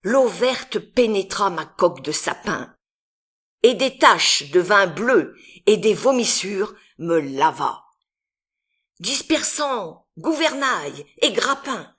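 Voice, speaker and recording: Woman reciting poetry very slowly. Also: medium pitch, slightly rough. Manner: expressive, deliberate, with long pauses between phrases. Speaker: poetry reader. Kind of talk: dramatic literary poetry recitation.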